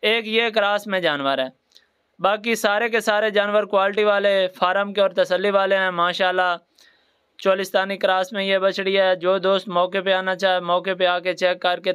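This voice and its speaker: A man's voice: sits high, a bit bright, clean tone. A man with a Pakistani accent narrating a promotional livestock sales video in repetitive sales patter.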